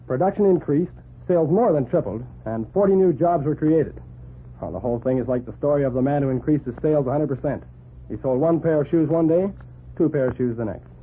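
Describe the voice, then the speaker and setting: An actor in a 1940s radio drama playing a brisk, persuasive, self-assured newspaper reporter. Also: male voice, a little high, slightly rough. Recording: narrow, noisy 1940s radio recording.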